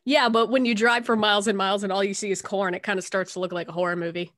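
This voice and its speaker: A woman's voice: medium pitch, fairly even intonation, fast talker, fairly bright tone, dry recording, clean studio sound. A woman in her late twenties from the US East Coast, hosting a casual podcast chat with a chatty, self-deprecating manner. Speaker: podcast host.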